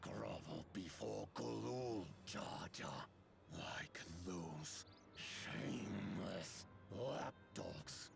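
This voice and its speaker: A man voicing a menacing, proud giant conqueror in a game, with a gravelly half-whispered growl and slow, grandiose delivery. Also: low voice, animated, noisy background, pitched below the performer's own voice, heavily acted. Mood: scornful, threatening. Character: menacing giant conqueror, proud, bitter, ancient-minded warrior. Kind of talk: voicing game cutscene dialogue.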